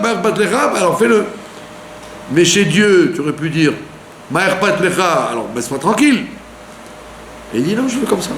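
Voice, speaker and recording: Animated rabbi teaching in an echoey room. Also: male voice, high-pitched. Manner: animated teaching, with rhetorical questions and frequent "d'accord?". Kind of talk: Torah lesson sermon. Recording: echoey room with faint hum.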